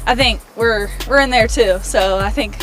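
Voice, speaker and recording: A young woman, a college softball senior, answering a preseason interview in an upbeat, casual way with many fillers. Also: a little high, normal speed, soft background music, poor audio. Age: young adult.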